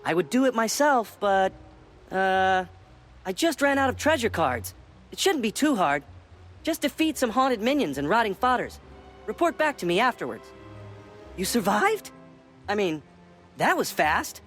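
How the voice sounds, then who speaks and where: A bookish young wizard student in a fantasy game, speaking very high and with lively swings of pitch. He sounds eager and slightly smug, over light music. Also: measured pace, long pauses, heavily acted. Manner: animated, bookish, expressive. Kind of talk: video game character acting.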